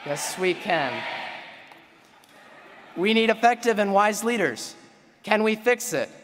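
A man's voice: high voice, very animated, slow pace, noisy background. A young man from Pennsylvania, class president, giving a rousing formal commencement speech into a podium microphone on a large auditorium stage. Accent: Pennsylvania American. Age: young adult. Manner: rousing, builds to call-and-response refrains. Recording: long hall reverb.

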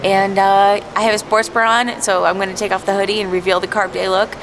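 A woman in her thirties chatting excitedly to a handheld camera, at a pool and then in a gym at night. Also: mid-range voice, a little fast, background noise. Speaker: bodybuilding vlogger. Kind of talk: casual vlog to camera. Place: poolside outdoors, then large gym at night. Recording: music playing behind.

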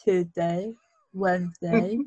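A British woman teaching vocal exercises as a voice coach on a video call, demonstrating lively from a home studio mic. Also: lowish voice, slow, breathy edge, dry recording, muffled recording.

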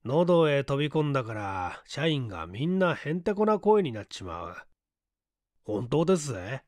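A man voicing a composed, quick-witted young son in an audiobook story, chatty and breezy. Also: mid-range voice, sing-song, a little mellow, no room sound, close to an everyday voice. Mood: casual bluffing.

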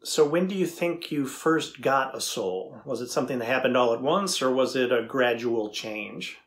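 A middle-aged man playing an earnest, curious AI researcher in a dramatized reading, asking questions deliberately. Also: medium pitch, animated, lightly acted.

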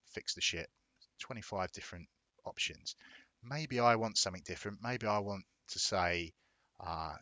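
A man with a low, bright voice walks the listener through software settings. He explains each step casually and reassuringly, in a dry, close recording. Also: no room sound. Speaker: tutorial presenter. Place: indoors, voice-over of a screen recording.

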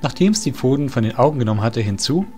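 A man's voice: mid-range voice, lively intonation, fast, music in the background. A man narrating a scripted fantasy audio drama.

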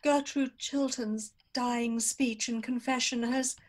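A woman's voice: a little high, fairly even intonation, slow pace, darkish tone. A woman acting a scheming, elegant Victorian adventuress in a radio play, with a haughty, theatrical manner. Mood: smug, gloating.

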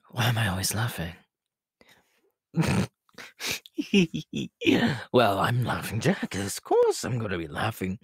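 Raspy, wildly swooping killer clown. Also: male voice, low-pitched, no room sound, clean studio sound, exaggerated voice. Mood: gleeful, menacing. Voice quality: raspy, breathy, gravelly, slipping into whispers. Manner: rambling, teasing, laughing at himself, erratic swoops. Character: tall inhuman killer clown, giggling, menacing, childishly candy-hungry. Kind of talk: in-character horror roleplay monologue.

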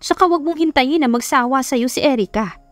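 A woman's voice: high-pitched, fairly quick, no echo, soft background music, voice pushed up, lightly acted. A woman voicing an earnest middle-aged mother, firm and emotional as she pleads in an audiobook romance. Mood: pleading, reproachful. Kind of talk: dramatized audiobook romance reading.

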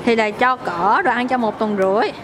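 A young woman with a fairly high voice chats about groceries while shopping in a supermarket. Loud store noise surrounds her, picked up by a handheld camera. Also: brisk. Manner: chatty, explains products. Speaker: vlog co-host. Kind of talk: casual vlog chat. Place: supermarket, indoors.

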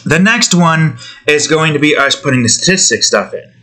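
A man's voice: a little high, expressive, normal speed, bright, forceful tone. A young man giving rambling, casual commentary to himself while drawing, now and then testing out voices, recorded on a desk mic in a small room at home. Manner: rambling, self-talk, trying out voices. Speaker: art video creator.

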